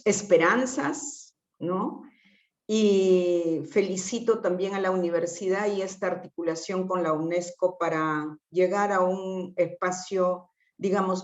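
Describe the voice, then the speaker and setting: Quechua-speaking woman, slow careful panel talk, lots of "eh", echoey room on a call. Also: fairly low pitch, muffled recording. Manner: measured and deliberate, with frequent pauses and "eh" fillers. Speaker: invited panelist. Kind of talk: formal talk on a video call.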